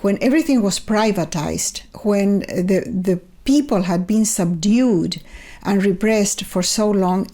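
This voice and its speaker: A woman's voice: medium pitch, warm tone. Older Chilean woman, reflective storyteller. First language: Spanish. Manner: thoughtful, measured storytelling with repeated restarts. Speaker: interview guest, author. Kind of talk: reflective conversational interview. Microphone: remote video call.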